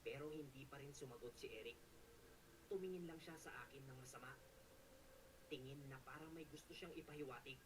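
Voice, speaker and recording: A man's voice: medium pitch, fast talker, pauses often. A man telling a story, recorded very faintly from a distance. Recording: very faint, distant, noisy background voice.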